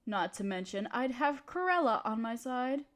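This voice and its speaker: High-voiced scheming evil queen played by a woman. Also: lively intonation, normal speed, lightly acted. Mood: gloating, conniving. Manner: imperious, theatrical, condescending. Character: scheming evil queen, haughty tyrant gloating to her advisor. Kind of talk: scripted roleplay audio drama monologue.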